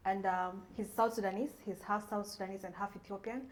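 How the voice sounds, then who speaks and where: A young woman co-hosts a podcast in a mid-pitched voice, earnest and a little hesitant, with the odd "uh" and "um". Faint ambience hums behind her. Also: steady tone, brisk, a little mellow, roomy sound, slight background noise. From Kenya. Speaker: podcast co-host. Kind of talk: podcast hosting and announcements.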